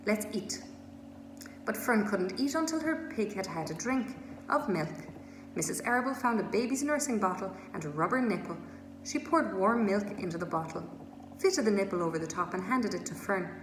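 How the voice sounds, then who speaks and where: A woman's voice: medium pitch, brisk. Woman reading a children's story, echoey room. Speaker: storybook reader. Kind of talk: scripted children's story narration. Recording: echoey room with steady noise.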